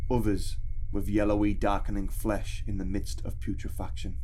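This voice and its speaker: A man narrating a scripted dark fantasy tale in a grave, dramatic storytelling manner. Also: fairly low pitch, slight background noise. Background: background music.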